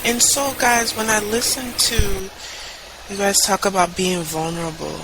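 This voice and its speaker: A woman's voice: mid-range voice, fairly even intonation, a little slow. Woman podcast host, chatty and emphatic. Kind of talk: casual conversational chat. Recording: audible background noise.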